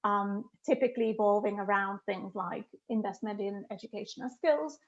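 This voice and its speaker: A woman's voice: mid-range voice, moderate pace, muffled recording. A middle-aged woman from Finland, a guest economist answering questions in an online talk, speaking emphatically and thoughtfully with frequent "you know" fillers.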